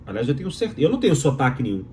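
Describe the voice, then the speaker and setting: A man's voice: mid-range voice, sing-song, rapid-fire, a little mellow, a little hiss or hum. Emphatic Mineiro man making his case. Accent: Mineiro Brazilian Portuguese. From Minas Gerais, Brazil. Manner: emphatic, rhetorical, repeats key phrases. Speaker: doctoral student in communication giving his opinion. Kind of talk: opinionated explainer monologue to viewers.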